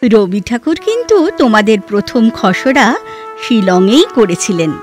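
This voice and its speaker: A woman's voice: medium pitch, very animated, normal speed, a little mellow, close to an everyday voice. An actress playing a poised, restrained young literary woman in a Bengali audio drama, lyrical and knowing. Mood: wondering. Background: background music.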